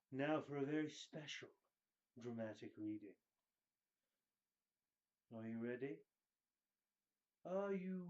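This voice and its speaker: A man giving a hammy, grandiose dramatic reading, drawing out his phrases with theatrical pauses. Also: mid-range voice, lo-fi sound.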